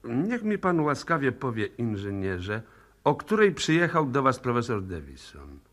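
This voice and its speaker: An actor playing a brisk, persistent police inspector who questions insistently, in 1960s radio play style. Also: male voice, medium pitch, big pitch swings, a bit bright. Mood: puzzled.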